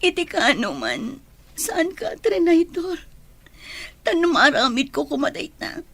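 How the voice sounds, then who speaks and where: A woman playing a remorseful, heartbroken young woman in an Ilocano radio drama, speaking softly and apologetically. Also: high-pitched, very animated, slow, no echo, heavily acted. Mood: pleading, remorseful.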